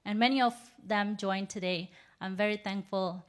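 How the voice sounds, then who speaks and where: A woman's voice: mid-range voice, fairly even intonation, clean tone. Earnest woman with a Burmese accent. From Myanmar. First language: Rohingya. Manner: measured, earnest storytelling with pauses. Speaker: invited speaker. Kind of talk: personal testimony speech.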